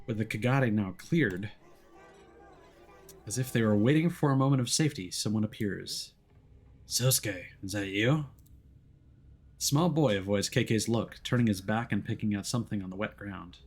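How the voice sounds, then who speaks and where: A man's voice: fairly low pitch, expressive, moderate pace, darkish tone, slightly rough. A man streaming a game, narrating its story in a dramatic, theatrical manner.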